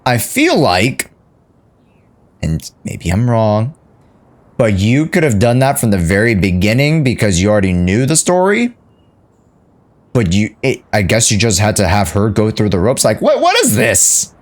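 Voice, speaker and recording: A man's voice: on the low side, big pitch swings, unhurried. A man doing casual live reaction commentary as a video game streamer, talking to his chat in slangy, offhand phrases.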